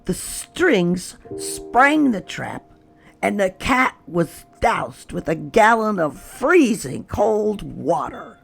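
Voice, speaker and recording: Children's read-aloud narrator: expressive and very lively in pitch, slow delivery, light music behind. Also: creaky, breathy edge. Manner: expressive, unhurried, addressing young children.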